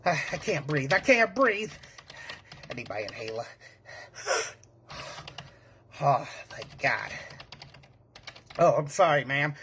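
A man's voice: mid-range voice, sharp tone. A man playing a nervous, bumbling young tech-support rookie, hesitant, apologetic and stammering, in a comedic monologue. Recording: clearly noisy background, keyboard clicks.

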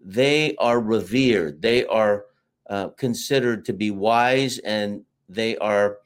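A man's voice: on the low side, slow pace. Storytelling American man, middle-aged. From United States. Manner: storytelling, expansive, with fillers. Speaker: interview guest, marketing teacher. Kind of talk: casual interview talk.

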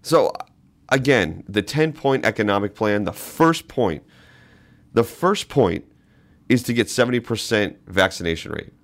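A man's voice: on the low side, lively intonation, measured pace. A man hosting a podcast, giving political commentary in an emphatic way and repeating phrases for stress. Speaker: podcast host.

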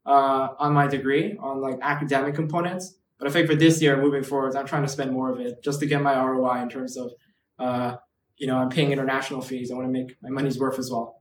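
A man's voice: mid-range voice, level tone, fast talker, recorded from a distance, a little hiss or hum. A man in his twenties from Hong Kong, a guest chatting casually on a podcast with lots of "like" and "right". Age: 20s. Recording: roomy echo, away from the mic.

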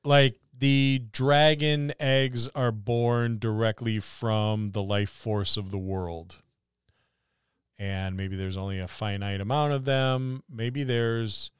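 A man co-hosts a casual gaming podcast in a smooth, clean voice. He muses and jokes as he goes. The sound is dry, with hardly any room on it. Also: medium pitch, dry recording. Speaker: podcast co-host. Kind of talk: casual tabletop gaming discussion.

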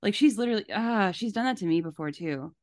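A woman's voice: medium pitch, expressive, fast, darkish tone, no echo, clean studio sound. A woman hosting a podcast, giving casual, conversational commentary. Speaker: podcast host.

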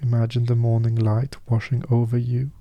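A man leading a guided meditation with affirmations, soft-spoken and soothing, leaving unhurried pauses. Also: medium pitch, monotone, gravelly, dull audio.

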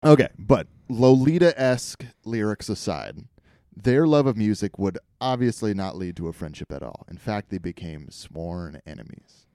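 A man's voice: on the low side, animated, normal speed, warm tone, no echo, studio quality. A man hosting a podcast, telling a comedic story in a wry, irreverent way. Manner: wry, irreverent, swears casually.

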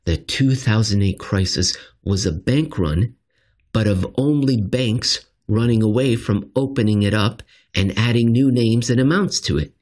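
A man narrating a written finance commentary in an expressive, scripted style with dramatic, deliberate phrasing. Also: on the low side.

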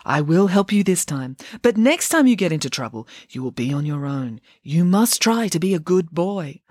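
A woman voicing a kindly but firm magical fairy in a children's story, questioning and gently admonishing. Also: fairly low pitch, big pitch swings, normal speed, no room sound, clean studio sound.

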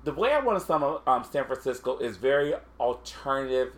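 A man chatting casually, hesitant, with frequent pauses and restarts. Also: mid-range voice, expressive. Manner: hesitant, lots of fillers and restarts. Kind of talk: casual chat.

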